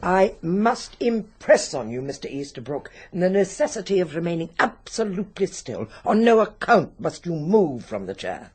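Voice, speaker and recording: An actress playing a solemn, commanding occult medium in a British radio drama, with stern, formal delivery. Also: female voice, fairly low pitch, big pitch swings, normal speed, few pauses, slightly rough. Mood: grave, commanding.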